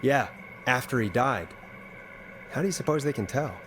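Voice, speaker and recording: A man's voice: mid-range voice, expressive, moderate pace, a bit bright, slight background noise, lightly acted. A man playing a thoughtful, puzzled radio host turned investigator in a video game, conversational and musing. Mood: puzzled, investigative.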